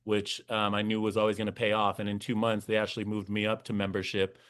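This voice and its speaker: A young man with a lowish voice, chatting as a podcast guest. He talks earnestly and animatedly, stumbling over his words and restarting sentences as he goes. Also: completely flat delivery, fast talker, fairly bright tone, clear, resonant tone. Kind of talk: casual interview chat.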